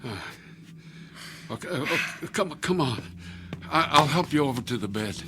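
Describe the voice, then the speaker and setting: Actor doing worried protective guy in a radio play, stammery, pitch jumps around, music behind him. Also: expressive, natural voice. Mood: relieved, concerned. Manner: halting, stammering, urgent. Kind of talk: radio drama acting.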